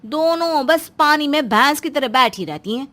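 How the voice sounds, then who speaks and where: A woman performs a young cartoon daughter-in-law with a high, swooping voice. She is chatty and mock-innocent, and tactlessly outspoken in a cheeky way. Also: animated, normal speed, fairly bright tone. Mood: blithely tactless. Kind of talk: Hindi moral story cartoon dialogue.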